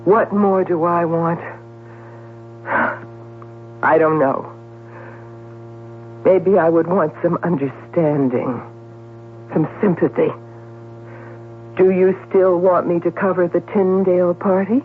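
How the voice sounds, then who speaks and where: An actress playing a vain, chatty middle-aged society columnist in a theatrical, emphatic 1970s radio drama style. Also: female voice, fairly low pitch, steady tone, slow, long pauses. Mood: hurt, pleading. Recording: tinny, noisy vintage radio broadcast with hum.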